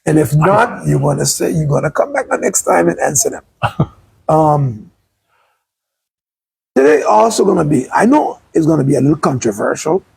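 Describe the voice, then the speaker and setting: A man's voice: fairly high pitch, lively intonation, normal speed, vocal fry, long pauses, a little mellow, slightly rough, rough recording. A man from Sint Maarten hosting a casual livestream interview outdoors, chatty and rambling. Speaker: talk show host. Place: outdoors by the beach.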